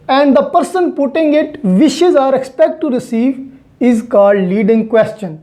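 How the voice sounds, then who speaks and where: A woman's voice: medium pitch, warm tone. A woman with a Pakistani accent giving an explanatory law lecture, mixing in English terms and repeating points in a didactic way.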